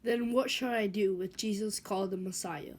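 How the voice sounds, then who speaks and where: A woman's voice: medium pitch, fairly even intonation, moderate pace, a little mellow, natural voice. A woman reading the part of a Roman governor who questions a prisoner and crowd, in an amateur church dramatic reading. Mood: questioning.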